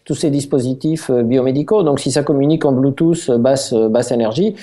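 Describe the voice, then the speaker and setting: Warm measured male panelist. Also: mid-range voice. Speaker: panel guest. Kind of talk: casual panel discussion.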